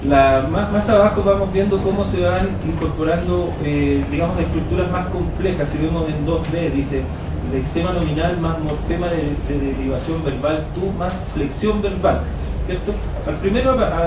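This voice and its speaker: A man giving an academic conference presentation. He explains step by step and checks in with the audience through frequent tag questions. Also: a little high. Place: conference hall. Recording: echoey hall, distant mic, noisy.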